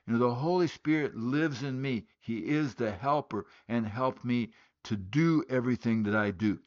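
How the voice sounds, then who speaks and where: A man's voice: mid-range voice, normal speed, highs cut off. A man from West Africa, born in Liberia and raised in Guinea, preaching a sermon, telling a story earnestly and asking rhetorical questions.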